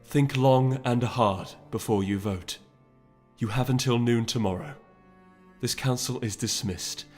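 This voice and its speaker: A man's voice: mid-range voice, a little slow, a little mellow, natural voice. A man voicing a regal, weary mare princess in a storybook reading, imperious and formal, with music behind. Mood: cold, stern.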